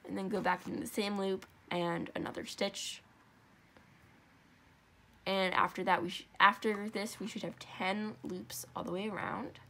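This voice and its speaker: A woman's voice: medium pitch, a bit bright. Stuffy-nosed young woman explaining a loom craft step by step, chatty and rambly, fixes her own words a lot. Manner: chatty, rambling, self-correcting asides. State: congested, stuffy nose. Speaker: craft tutorial host.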